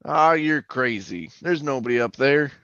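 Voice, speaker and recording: A man plays a talkative male oil-rig hand spinning an eerie yarn for a radio horror play. His delivery is colloquial and folksy, with wide swings in pitch. The recording is close and dry but slightly dull. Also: expressive, moderate pace, bright, forceful tone, clean tone, no room sound. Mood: brusque, puzzled. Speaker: actor and narrator. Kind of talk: old-time radio horror drama acting and first-person narration.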